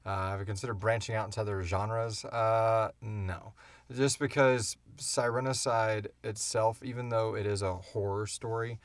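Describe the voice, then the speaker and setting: A man's voice: lowish voice, fairly even intonation, moderate pace, no echo. A middle-aged man hosting a podcast, chatting casually in a rambling, informal, self-deprecating way. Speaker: podcast host and audio drama creator. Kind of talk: casual Q&A chat. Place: home podcast studio.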